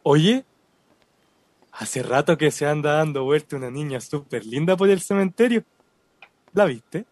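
A man's voice: on the high side, expressive, unhurried, a little mellow, no room sound. A man with a Chilean accent acting in a radio drama as a respectful, superstitious cemetery worker. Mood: eager, smitten. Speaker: actor.

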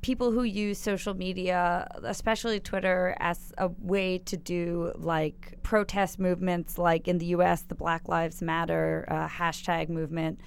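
A woman co-hosting a podcast, asking questions and teasing in quick, casual banter. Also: on the low side, level tone, creaky, slight background noise. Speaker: podcast co-host.

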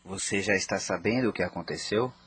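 An actor playing a cautious, torn married lover in a radio soap, hesitant and guarded. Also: male voice, low-pitched, fairly quick, a bit bright, slightly rough, full character voice. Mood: subdued. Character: Rafa, cautious, torn married man in secret romance.

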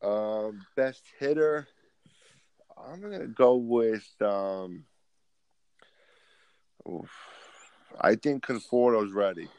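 A male podcast guest speaks in a lowish voice, relaxed and a bit hesitant, often pausing on "um." The sound is dry and close. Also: clear, resonant tone, no echo, muffled recording. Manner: relaxed, hesitant, lots of "um" and restarts. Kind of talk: casual sports chat.

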